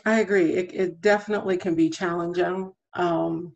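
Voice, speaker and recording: A middle-aged woman with a low, husky voice speaks in a measured way, with many fillers. She is talking over a video call from home, and the audio comes through dull and muffled. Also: steady tone, a little mellow. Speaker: counselor on a panel. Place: room at home. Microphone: laptop webcam on a video call.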